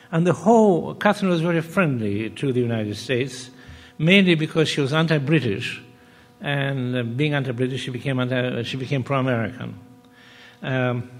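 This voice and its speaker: An older man, born and raised in Poland, giving a measured academic talk on a panel, pausing often and restarting phrases. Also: medium pitch. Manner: measured, with frequent pauses and restarts. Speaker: panel speaker. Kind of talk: academic lecture. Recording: reverberant hall.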